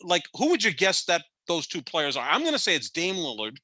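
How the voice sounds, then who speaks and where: Bright-voiced opinionated man in his fifties. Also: a little high, wildly swooping pitch, a little fast, no room sound. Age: 50s. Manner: opinionated and rambling, with frequent restarts. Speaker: podcast co-host. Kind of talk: casual sports debate.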